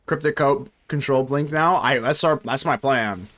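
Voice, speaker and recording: A man's voice: mid-range voice, normal speed. A young man giving casual live commentary on a card game, joking and talking to himself and his chat. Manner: animated, talks to himself and chat, jokey. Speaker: gaming streamer.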